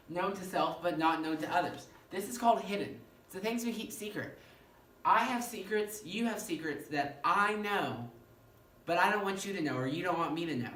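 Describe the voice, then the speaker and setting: A male professor with a fairly high-pitched voice, giving an animated classroom lecture and often checking in with "okay?". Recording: echoey room, mic far off, faint noise.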